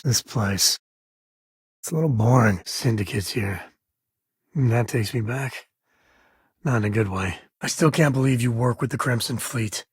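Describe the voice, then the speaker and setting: An actor playing a rugged, wry space-frontier explorer and caring father in a video game, with a husky, raspy voice and folksy, conversational phrasing. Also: medium pitch, dry recording, studio quality. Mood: casual, wry. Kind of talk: video game companion dialogue.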